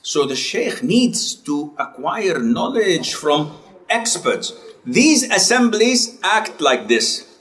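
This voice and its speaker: Lecturing man, higher-pitched, chatty. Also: very animated, slow, a bit bright. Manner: conversational, self-correcting, asks the class questions. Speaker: Islamic lecturer. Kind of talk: classroom lecture.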